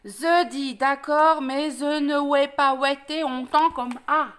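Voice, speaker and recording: A woman acts out a big bad storybook wolf with a lisp and a high-pitched voice. He is hungry and menacing, yet dim and easily fooled, stumbling clumsily over his words. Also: normal speed, close to an everyday voice. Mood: impatient, muddled. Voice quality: lisping.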